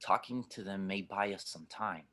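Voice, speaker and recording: A low-voiced, world-weary starship pilot acted by a man in a sci-fi audio drama. He is blunt, gruff and skeptical, with a sardonic way of talking. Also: normal speed, sharp tone. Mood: skeptical, frustrated. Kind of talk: audio drama table read.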